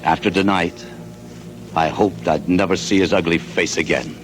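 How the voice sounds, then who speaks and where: A man's voice: sits low, steady tone, normal speed, natural voice. An actor playing a restless American salesman who narrates his own story, weary and sardonic. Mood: brooding. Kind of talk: 1940s radio acting. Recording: old radio broadcast with music bed.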